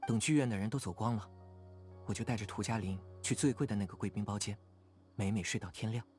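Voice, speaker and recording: A man's voice: lowish voice, fast talker, darkish tone, soft background music, close to an everyday voice. A man voicing a hardy, easygoing young idealist in a video game, with a casual, frank way of talking. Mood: relaxed, appreciative. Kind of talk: video game character dialogue.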